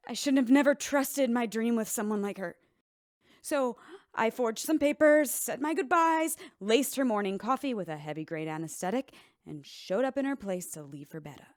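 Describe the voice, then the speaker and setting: An actress playing a guilt-ridden, defensive bartender in a radio drama, with earnest, emotional delivery. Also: female voice, fairly high pitch, expressive, normal speed, no echo, clean studio sound, close to an everyday voice. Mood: confessing.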